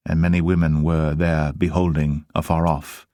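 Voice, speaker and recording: A man narrating the Bible as a dramatised reading, in a solemn, measured manner. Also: rumbling low, little pitch movement, dark tone, dry recording, clean studio sound.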